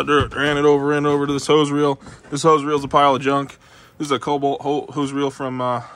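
A man's voice: medium pitch, a bit bright, a little hiss or hum. A man casually reviewing his air compressor in a cluttered garage, filming on a handheld phone and rambling with lots of fillers and blunt, profane asides. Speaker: channel host reviewing tools. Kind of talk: casual off-the-cuff product review. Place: cluttered garage workshop, indoors. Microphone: handheld phone camera, first-person view.

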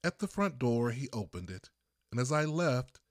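A man from Texas reading his own first-person story as an audiobook narrator, with deliberate phrasing and pauses. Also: medium pitch, sing-song, no room sound, clean studio sound. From Texas, United States.

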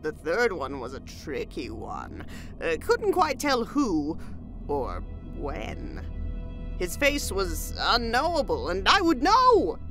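A woman's voice: mid-range voice, huge pitch swings, slow, fairly bright tone, faint music behind, exaggerated voice. A woman playing an eccentric, cryptic hermit seer in a fantasy audio drama, theatrical and riddling.

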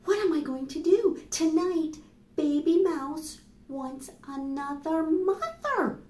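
A woman's voice: high-pitched, expressive, takes it slowly, dark tone, voice pushed up. A woman voicing a worried, fussing mother mouse in a children's bedtime tale, with dramatic exclamations. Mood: worried, flustered. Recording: roomy echo, distant mic.

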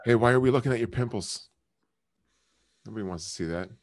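A man hosting a live stream, heckling strangers in random video chats with loud, crude, swearing banter. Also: medium pitch, brisk, a little hiss or hum. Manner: loud, taunting, swearing often. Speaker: streamer host.

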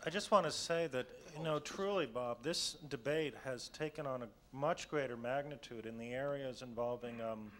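Measured man arguing policy on a panel. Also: medium pitch. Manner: measured, argumentative policy talk. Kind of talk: panel discussion. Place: hotel conference room. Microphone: table mic on a stand.

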